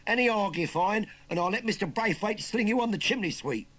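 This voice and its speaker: Shrill, cocky Cockney scarecrow lad. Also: male voice, huge pitch swings, brisk, slightly rough, exaggerated voice. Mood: insistent, aggressive. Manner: sneering, bossy, jeering. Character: cocky bullying young Cockney scarecrow boy, menacing nephew.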